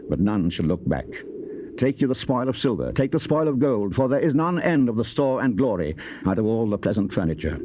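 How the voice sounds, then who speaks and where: A man acting a grave, wrathful Old Testament prophet in a solemn, declamatory scripture dramatization. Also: low-pitched. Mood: grave, foreboding. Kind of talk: dramatized King James scripture reading. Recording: dull, noisy cassette tape.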